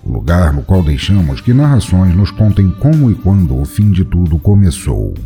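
A man with a Brazilian Portuguese accent hosting an audio drama podcast, reading out notices and thanks in a theatrical, playfully dark tone. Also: low voice, very animated, moderate pace, faint music behind. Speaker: podcast host.

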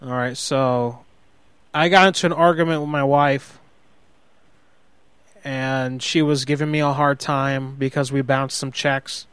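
A man's voice: medium pitch, steady tone, fairly bright tone, smooth, no room sound, lightly acted. A man playing a hot-headed, sheepish husband in an improvised prank phone call, rambling and justifying himself as he goes. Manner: halting, rambling, self-justifying.

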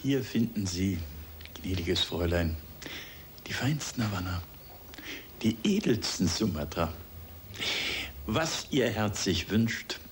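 Casting: proud old-fashioned male shopkeeper, radio drama, formal and fawning, measured pace, very animated melody. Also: sits low, slight background noise. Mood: grandly courteous. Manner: formal, flowery, obsequious sales talk. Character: courtly, proud male tobacco shopkeeper, eager to please. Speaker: tobacconist. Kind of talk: radio drama acting in a children's mystery.

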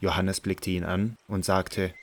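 A man narrates scripture with a very deep voice. His reading is measured and solemn. It is scripted narration for a biblical radio drama. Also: little pitch movement.

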